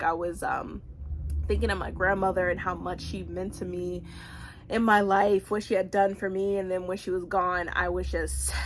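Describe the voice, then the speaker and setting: A woman's voice: on the low side, slight background noise. A woman giving a casual, chatty vlog review as a book channel host, with informal, slangy phrasing and phrases repeated for emphasis.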